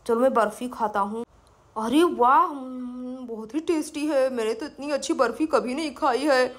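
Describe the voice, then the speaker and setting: A woman's voice: high-pitched, normal speed, voice pushed down. A woman voicing a greedy, delighted little boy in a children's doll story. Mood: gleeful.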